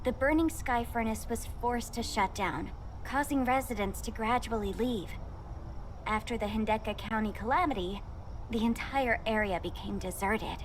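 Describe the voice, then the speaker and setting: A woman's voice: fairly high pitch, normal speed, slight background noise, close to an everyday voice. A woman playing a polite, studious researcher in a video game, explaining things formally and helpfully. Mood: solemn explaining. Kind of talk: scripted video game voice acting.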